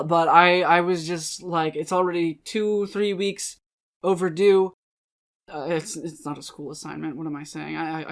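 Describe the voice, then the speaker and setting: A woman talking casually to her listeners as a video creator, rambling and poking fun at herself. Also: on the low side. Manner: rambling, self-deprecating. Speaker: video creator and dramatic reader.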